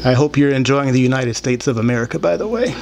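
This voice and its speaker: A man's voice: medium pitch, animated, fast. A man in his thirties hosting his own show, delivering a rambling, blunt and aggrieved monologue with profanity into a desktop microphone in a small room. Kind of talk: rambling opinionated monologue to camera. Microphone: desktop microphone, later held in hand. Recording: clear background noise, room ambience.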